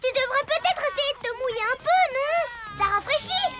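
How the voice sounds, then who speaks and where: An actor playing an excitable young child superhero in a French-dubbed animated cartoon, with an eager, emphatic delivery. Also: very high voice, fairly quick. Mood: playful. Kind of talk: animated cartoon dub acting. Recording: loud music under the voice.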